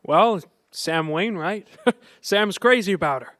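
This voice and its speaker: An actor playing an earnest young small-town man with a halting, folksy, stammering delivery in a radio play. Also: high-pitched, a bit bright, dry recording, clean studio sound. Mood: bashful, evasive. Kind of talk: live radio play acting in an old-fashioned manner.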